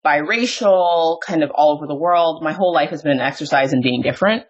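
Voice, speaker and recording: A woman's voice: low-pitched, a little fast. A woman hosting a podcast interview, talking candidly and with self-deprecating humor, often breaking into laughs. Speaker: podcast host. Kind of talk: conversational interview. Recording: dull lo-fi audio.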